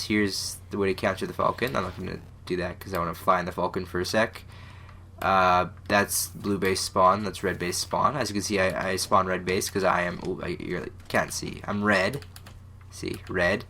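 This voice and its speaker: A man's voice: sits low, bright, forceful tone, a little hiss or hum. A young man with a stuffy, congested voice, casually and self-deprecatingly commenting on his own old game maps, full of fillers and restarts. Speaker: gaming channel host.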